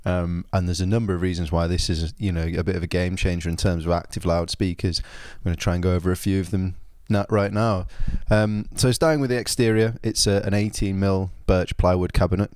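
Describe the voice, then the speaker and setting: A man giving an informal spoken product review, explaining things in a measured, understated way. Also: sits low, steady tone, a little mellow, smooth, no room sound, clean studio sound.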